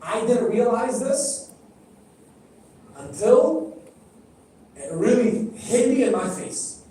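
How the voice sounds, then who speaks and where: Preacher in a very echoey hall. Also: male voice, extremely high pitch, big pitch swings, takes it slowly, warm tone. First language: Arabic. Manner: direct, questioning the audience, repeating phrases for emphasis. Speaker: speaker at a religious gathering. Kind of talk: sermon. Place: large hall. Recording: echoey hall, heard from a distance.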